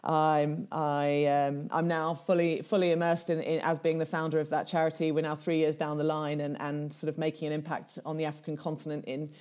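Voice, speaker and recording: A woman's voice: deep voice, level tone, a little fast, hardly any pauses, darkish tone. A woman in her thirties from Kenya, a charity founder talking as an interview guest in a thoughtful way, with many fillers and restarts. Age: 30s. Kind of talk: casual interview talk.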